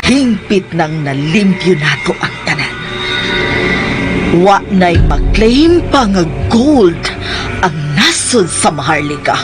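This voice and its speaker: A woman's voice: on the low side, very animated, slow pace. A woman playing a smug, scheming senator with a Cebuano accent, declaiming theatrically in a radio drama and mixing in English phrases. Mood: gloating. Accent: Cebuano Filipino. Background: background music.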